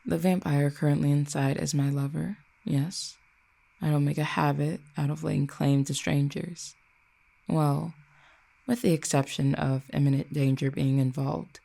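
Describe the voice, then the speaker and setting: A performer voicing an ancient fallen cupid in a roleplay audio drama, poised and wry, speaking to the listener in formal, eloquent, measured phrases. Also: mid-range voice, steady tone, vocal fry, dark tone. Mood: calm, intrigued.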